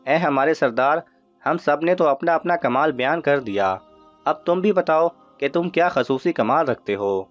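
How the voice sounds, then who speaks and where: A man's voice: on the high side, natural voice. A man voicing eager, admiring thieves who defer to their leader in a children's story. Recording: muffled audio with music underneath.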